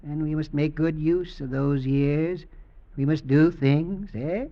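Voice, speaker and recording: Sly, menacing old executioner, vintage radio. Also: male voice, fairly high pitch, fairly even intonation, slow. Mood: sly false sweetness. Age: older. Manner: pointed, insinuating, mock-affectionate questioning. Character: menacing old executioner, possessive, slyly mocking husband. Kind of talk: 1940s-50s American radio drama acting. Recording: dull vintage radio recording.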